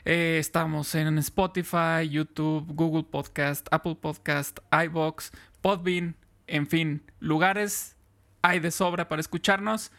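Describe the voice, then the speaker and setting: A man's voice: on the high side, fairly even intonation, slow pace, vocal fry, fairly bright tone. A Mexican man hosting a podcast, chatting casually and wrapping up with friendly thanks to listeners. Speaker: podcast host. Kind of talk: casual chat.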